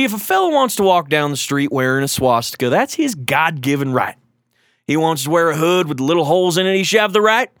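A man's voice: high voice, fairly bright tone, no room sound, studio quality. A man doing a blustering gun-nut radio pundit. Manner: blustering, swaggering, mocking, swings up into whoops and jeers. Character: loud, bigoted gun-loving male conservative radio pundit. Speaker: guest correspondent on a college radio show. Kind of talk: satirical character comedy.

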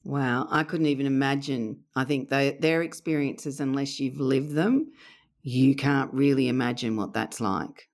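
A woman hosting a podcast interview, asking gentle questions and adding short acknowledgements. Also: extremely low pitch, steady tone, a little slow. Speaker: podcast host.

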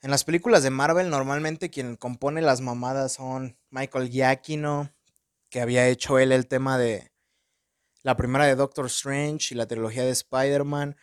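Young mexican dude with a mexican accent doing a movie review from his room, super slangy, lots of swearing and filler, dry close sound, mid pitch. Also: smooth, no room sound. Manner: slangy and profane, constant filler words. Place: room at home in front of a window.

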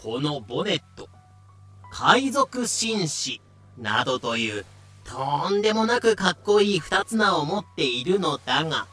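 A man's voice: a little high, very animated, unhurried, pushed and bright, soft background music. A man narrating an audio drama from a script in a dramatic storytelling style.

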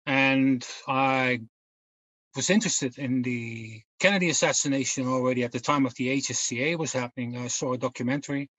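A man's voice: medium pitch, a little fast, a bit bright, no echo, low-quality recording. Guy rambling casually in an interview, lots of ums, dry close sound. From Netherlands. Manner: rambling, many fillers and restarts. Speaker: guest researcher. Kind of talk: casual storytelling in a podcast interview.

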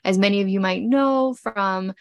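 A woman of forty hosting a podcast, speaking gently and from the heart with many pauses while she gets over a cold. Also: medium pitch, no echo, studio quality. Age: 40s. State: congested, getting over a cold. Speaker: podcast host. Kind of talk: personal reflective podcast talk.

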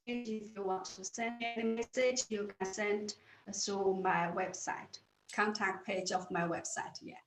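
Slow, earnest woman with a Chinese accent. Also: mid-range voice, fairly even intonation, reverberant, soft background music. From China. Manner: earnest, halting with restarts and repeated words. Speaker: guest. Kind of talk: interview answers.

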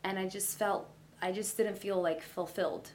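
A woman, a realtor talking in a relaxed, chatty, easygoing way. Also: mid-range voice, moderate pace, slight background noise. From Brooklyn, New York. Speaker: realtor guest. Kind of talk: casual interview chat.

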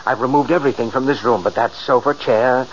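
A man's voice: mid-range voice, brisk, lightly acted. Radio drama actor as haunted, agitated man fighting fate, theatrical and emphatic, dull noisy vintage recording. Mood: tense, resolute. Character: frantic, fearful man obsessed with cheating his foretold death. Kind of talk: 1940s radio drama acting.

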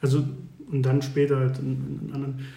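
A man chatting casually as a podcast co-host, reminiscing haltingly and often checking that his listener follows. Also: mid-range voice, completely flat delivery, vocal fry, warm tone. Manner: halting, searching for words, seeks confirmation. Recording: echoey room.

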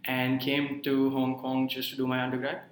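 A man, a university student talking as an interview guest, self-deprecating and quick to laugh. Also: medium pitch, monotone. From India. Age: 20s. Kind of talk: casual interview. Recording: roomy echo.

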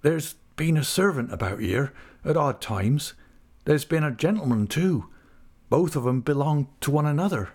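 Young fisherman, played by a male narrator: honest, anguished, pleading, pitched up with a gravelly edge. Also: animated, a little slow, a little mellow. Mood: faltering, anguished. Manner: pleading, faltering. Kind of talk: dramatised audiobook character.

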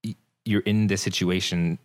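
A man's voice: sits low, steady tone, moderate pace. A man hosting a casual interview, talking in a laid-back, rambling way with frequent stammers and restarts.

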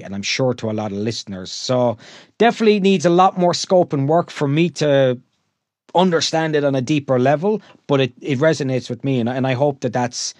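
A male podcast host muses at length in a loose, rambling way. He leans on filler phrases as he works through an idea. His voice sits in a middle pitch and sounds dry and close. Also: dry recording. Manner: rambling, musing, leans on "you know". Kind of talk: casual interview talk.

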